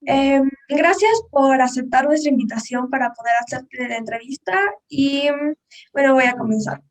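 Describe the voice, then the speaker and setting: The high, hesitant voice of a woman politely reading her questions to a guest, picked up from some way off the mic. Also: steady tone, normal speed. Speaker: interviewer. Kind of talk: polite prepared interview questions. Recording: distant from the microphone.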